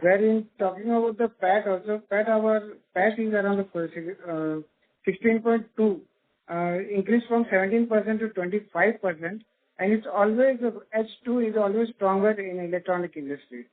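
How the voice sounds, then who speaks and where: A man's voice: high voice, normal speed. Indian-accented finance man, thin phone-line sound. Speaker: company finance executive. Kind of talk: earnings call answers. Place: conference call. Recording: tinny phone-like conference line.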